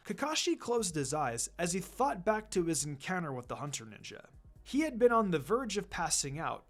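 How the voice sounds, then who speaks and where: A man reading fan fiction aloud as a scripted story narrator. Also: on the high side. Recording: music behind the reading.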